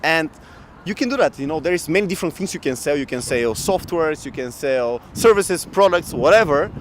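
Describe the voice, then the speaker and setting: A man in his thirties from Bulgaria telling his work story in a casual outdoor interview, animated and laughing often, into a handheld mic. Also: a little high, a little fast, slight background noise, low-quality recording. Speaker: guest entrepreneur. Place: outdoor city square in winter. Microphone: handheld mic shared, camera close.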